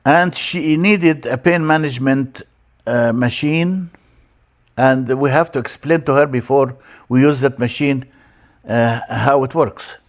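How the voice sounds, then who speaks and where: Older man, Egyptian accent, native Arabic speaker, mid-range pitch, informal teaching style, halting and deliberate explanations. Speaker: church language teacher. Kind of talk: informal lecture.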